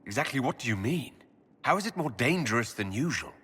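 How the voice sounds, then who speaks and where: Commanding fantasy leader; husky edge, animated intonation, direct and serious. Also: male voice, medium pitch, brisk, exaggerated voice. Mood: serious, probing. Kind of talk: video game voice acting.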